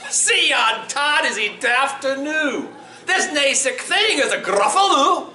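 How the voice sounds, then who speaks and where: A cheeky, boastful little storybook mouse, acted out by a man, speaking Scots dialect with animated, swooping pitch. The voice rings in an echoey room. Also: slow, full character voice. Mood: mocking. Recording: echoey room, faint noise.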